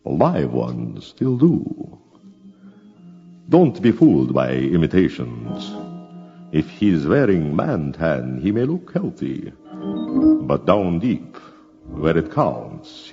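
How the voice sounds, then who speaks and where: A man's voice: a bass voice, creaky, full character voice. A man playing a wryly morbid, pompous vampire lecturer and crooner on a theatrical novelty record. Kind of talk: theatrical novelty monologue and song. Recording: reverberant lo-fi record under loud music. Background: loud organ and guitar music.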